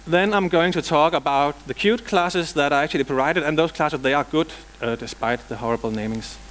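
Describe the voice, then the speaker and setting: A man from Denmark with a Danish accent presents at a software conference. His voice sits a little high, and he slips casual, dry jokes between the technical points. He is middle-aged and speaks on a lapel mic in a big hall. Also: brisk, slight background noise. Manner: dry joking, casual asides.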